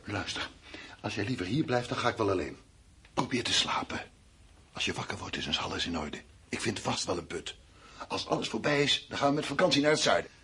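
A man's voice: fairly low pitch, very animated, fairly quick, pushed and bright, a little hiss or hum. A man acting in a radio play as an impatient, dismissive husband or lover during a war's collapse. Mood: soothing, evasive.

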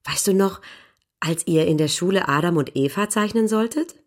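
A woman plays an upper-class lady with a notably deep female voice. She talks in a knowing, conversational way, like a society confidante in a radio play. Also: moderate pace, close to an everyday voice. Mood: teasing, fond. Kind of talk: acted radio drama monologue and dialogue.

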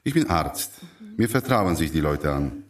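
A genial old doctor in a radio drama. His very deep voice moves in lively swings, wry and affable, a little away from the mic. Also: measured pace, full character voice. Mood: affable, explaining. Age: older. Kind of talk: radio play acting. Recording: slightly distant from the mic.

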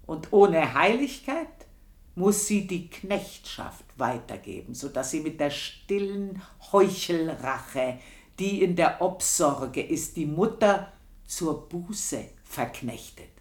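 An actress playing a bitter, vengeful old housekeeper in a radio drama, with a southern German or Austrian accent and a deliberate, intense delivery. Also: female voice, sits low, expressive, roomy sound. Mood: cold, grimly satisfied. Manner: deliberate, intense, drops into whispers. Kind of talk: slow, literary radio drama monologue.

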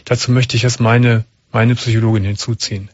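A man hosting a late-night radio call-in show, calmly asking questions and giving advice. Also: medium pitch, a little fast, dry recording. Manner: calm, probing, interrupts to clarify. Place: radio studio. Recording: dull broadcast radio sound.

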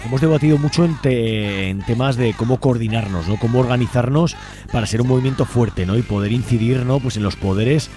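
A man's voice: medium pitch, a little fast, over music. A man with an accent from Spain giving an informal radio report as a host, often tagging his phrases with a little question. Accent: Spanish from Spain. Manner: frequently ends phrases with a questioning tag.

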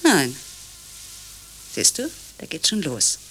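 A suspicious, needling woman in a radio play, with clear noise behind her. She is insistent, her bright voice swinging in animated probing. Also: low voice, takes it slowly, full character voice, rough recording. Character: distrustful, needling visitor pressing for honesty.

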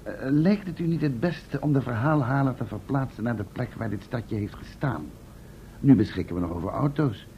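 Sceptical, formal male travelling companion, acted, middle-pitched, vintage radio drama, muffled recording. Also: lively intonation, normal speed, natural voice. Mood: dry, reasonable. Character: polite, sceptical male companion on expedition, somewhat nervous. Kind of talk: vintage Dutch radio play acting. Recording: muffled old radio recording with faint rumble.

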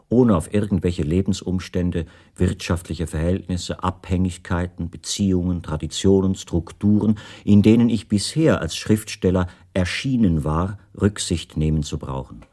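A man playing a composed captive writer, narrating in the first person for a radio drama in a measured, precise way. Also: deep voice, warm tone. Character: captive male writer recounting his imprisonment, precise, composed.

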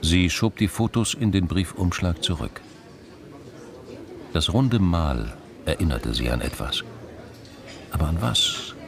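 Low-pitched man narrating a crime story. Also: lively intonation, takes it slowly, long pauses, a crowd in the background. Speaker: narrator of a radio crime drama. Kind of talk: scripted literary narration.

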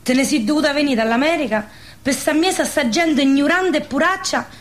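A very high-voiced village spinster, played by an actress in radio theatre, with a broad southern Lazio dialect. She talks in an emphatic, colloquial way, devout, gossipy and quick to defend her pride. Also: close to an everyday voice. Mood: proud, touchy.

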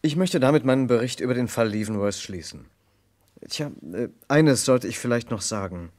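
An actor playing an earnest, gentlemanly narrator in a radio crime play, with a measured, formal manner. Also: male voice, mid-range voice, animated, lightly acted. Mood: modest, wry. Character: gentlemanly male narrator, earnest, curious friend of the detective.

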